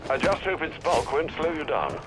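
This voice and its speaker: An actor playing a loyal older butler in a video game, speaking formally and politely with dry concern. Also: male voice, a little high, huge pitch swings, normal speed, background noise. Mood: dutiful, concerned. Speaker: butler and assistant. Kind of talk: video game cutscene acting. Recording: music behind the voice.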